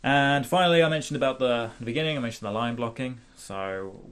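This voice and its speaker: A man hosting a casual software tutorial, thinking aloud hesitantly as he demonstrates. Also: medium pitch, a little fast. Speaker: tutorial host.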